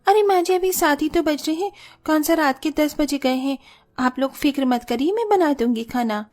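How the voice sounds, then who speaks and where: A woman's voice: high-pitched, normal speed, darkish tone, close to an everyday voice. A woman playing a breezy, lazy young daughter-in-law who makes polite, stubborn excuses in a cartoon story. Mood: breezy, excusing.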